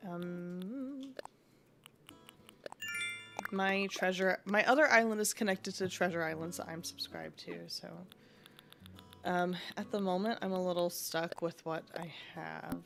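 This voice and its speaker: A woman's voice: lowish voice, measured pace, creaky, pauses often, music in the background. A sniffly woman streamer chatting casually and thinking aloud while she plays a game. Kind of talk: casual gaming chat.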